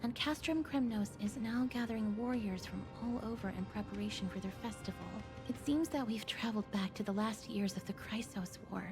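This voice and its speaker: A woman playing a composed, thoughtful young companion in a video game, speaking in a measured, earnest and articulate way. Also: mid-range voice, over music, close to an everyday voice. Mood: calm, thoughtful. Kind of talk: video game character dialogue.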